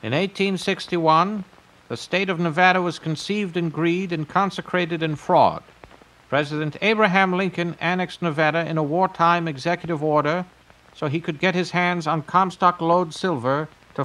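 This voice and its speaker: An actor playing a self-important old billionaire delivering a formal, oratorical recorded message. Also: male voice, on the high side, moderate pace, fairly bright tone, lightly acted, poor audio. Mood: solemn, grandiose. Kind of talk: prepared speech in an audio drama.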